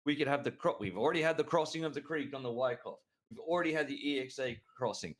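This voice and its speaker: A man co-hosting a trading show, talking excitedly and rambling through chart analysis with frequent restarts. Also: mid-range voice, low-quality recording. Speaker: crypto trading show co-host. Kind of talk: enthusiastic market analysis chat.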